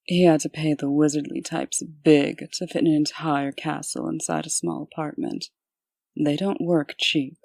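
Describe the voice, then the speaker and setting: A woman's voice: deep voice, flat delivery, a little slow, creaky, darkish tone, no echo, clean studio sound, exaggerated voice. A woman playing a composed, proper, businesslike female official in a comic dub, polite but authoritative. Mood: matter-of-fact.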